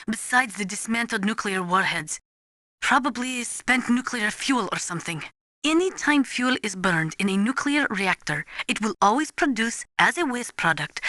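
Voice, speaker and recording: Bright-voiced, formal nuclear analyst. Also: female voice, fairly high pitch, no echo, studio quality. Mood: serious, explanatory. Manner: formal, precise, avoids contractions, lecturing. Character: serious, knowledgeable female nuclear weapons analyst. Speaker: video game voice actor. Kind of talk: scripted expository game dialogue.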